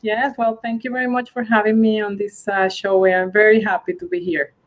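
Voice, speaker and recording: A woman from Venezuela, answering interview questions over a video call, halting with many fillers. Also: mid-range voice, flat delivery. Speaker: guest, global mobility manager.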